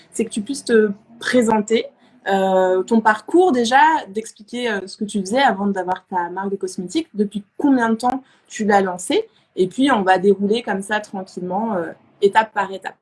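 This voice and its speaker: A woman's voice: mid-range voice, normal speed. A woman hosting a casual live interview, chatting informally and often searching for her words as she phrases questions. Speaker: interview host.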